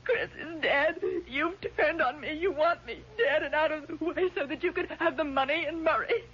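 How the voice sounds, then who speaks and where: Frantic grieving sister, melodramatic old radio play, high voice, dull hissy recording. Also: female voice, moderate pace, fairly bright tone. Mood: tearful despair. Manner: intense, melodramatic, emphatic. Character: distraught, accusing sister, grieving and frightened, on the edge. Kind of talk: 1970s radio drama acting.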